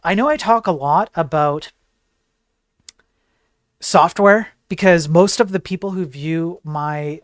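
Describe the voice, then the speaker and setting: A man's voice: sits high, dry recording, studio quality. A man giving opinionated tech news commentary on a livestream, emphatic and digressive, tossing in rhetorical questions. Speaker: tech news streamer and programmer.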